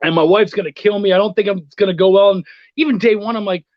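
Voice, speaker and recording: A man telling anecdotes as a guest in a casual chat, animated and swearing often. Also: high-pitched, fast, dry recording, muffled recording. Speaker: guest, freelance writer and editor.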